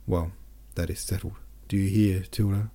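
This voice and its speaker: A man's voice: low-pitched, steady tone, normal speed, dark tone, close to an everyday voice. A man reading the lines of an emotional, outspoken older woman in a storybook, lightly acted in his own voice. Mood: brisk.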